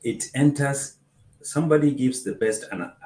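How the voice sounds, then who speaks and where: A man, a film producer and festival organizer, explaining his points in a measured way with hesitant pauses. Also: mid-range voice, warm tone, reverberant, low-quality recording. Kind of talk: interview answers.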